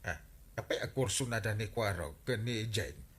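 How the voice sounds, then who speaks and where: An actor playing a blustery comic village captain in an Ilocano radio drama, with an Ilocano accent and an incredulous, exclamatory manner. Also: male voice, lowish voice, moderate pace, a bit bright, breathy edge. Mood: curious.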